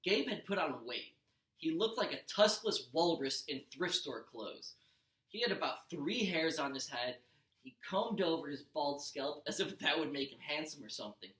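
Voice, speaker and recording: A man's voice: a little high, a bit bright. A man reading a story aloud like a teacher to a class, expressively, with the odd stumble and self-correction. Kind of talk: animated children's audiobook read-aloud. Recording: echoey room.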